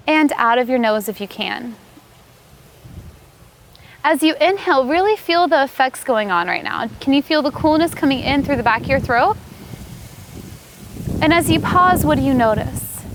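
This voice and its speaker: High-voiced woman guiding a meditation. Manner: calm, soothing, unhurried instructing. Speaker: yoga teacher leading guided meditation. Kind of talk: guided meditation instruction.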